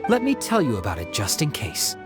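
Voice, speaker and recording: A man playing a courteous, helpful official in a game, with a formal and expressive way of talking. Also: medium pitch, brisk, over music. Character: courteous, helpful male official informant, smooth-mannered. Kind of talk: game voice acting.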